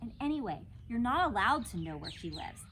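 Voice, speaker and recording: A woman's voice: on the high side, sing-song, fairly quick, a bit bright, slight background noise. A woman voicing a bossy, know-it-all little girl classmate in a children's storybook, scolding and stubborn. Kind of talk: children's storybook read-aloud.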